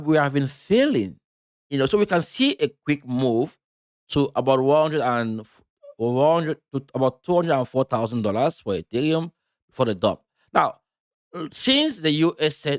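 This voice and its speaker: Halting man giving live trading commentary. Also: mid-range voice, dry recording, studio quality. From Cameroon. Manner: loose, halting delivery with many fillers and restarts. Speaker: crypto trading streamer.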